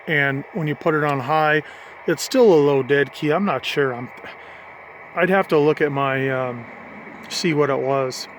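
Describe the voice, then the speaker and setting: A middle-aged man with a somewhat high-pitched voice talks casually through a hands-on demo. He is folksy and informal, working things out aloud. The amateur recording carries some noise behind him. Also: a little slow, fairly bright tone. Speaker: hobbyist vlogger demonstrating a CB radio. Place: in or beside a parked vehicle. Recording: clearly noisy handheld amateur recording.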